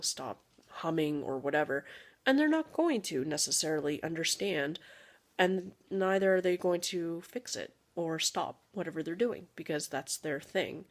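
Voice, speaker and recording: A young woman, not a native English speaker, chatting casually to camera as a parenting vlogger, rambling with fillers and asides. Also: low-pitched.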